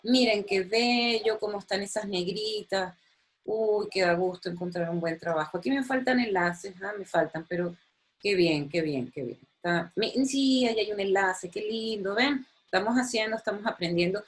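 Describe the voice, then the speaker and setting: A woman's voice: mid-range voice, moderate pace. A woman teaches a recorded university lecture from her computer. She talks in a chatty, enthusiastic way. She often repeats words and uses many fillers. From Chile. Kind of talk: recorded online lecture with screen sharing.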